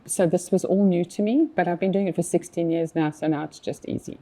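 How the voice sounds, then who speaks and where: A woman's voice: lowish voice, fairly even intonation, brisk, dark tone. A woman in her fifties from South Africa with a South African accent, chatting casually as an interview guest with many fillers and restarts. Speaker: magazine publisher guest.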